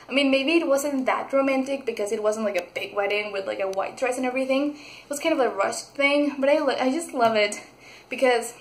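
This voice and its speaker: A young woman from Mexico, reviewing a book in a casual vlog, gushing and excitable with many fillers and restarts. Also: high-pitched, a little fast. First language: Spanish. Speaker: book vlogger. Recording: echoey room.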